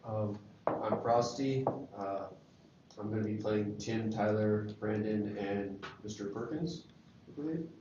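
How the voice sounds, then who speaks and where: A man's voice: lowish voice, a little slow, recorded from a distance. Hesitant man in a very echoey room. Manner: hesitant, self-deprecating. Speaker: amateur reader in a table read. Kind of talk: casual self-introduction and chat. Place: echoey indoor room with a group. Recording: dull, very echoey room, loud noise, off-mic.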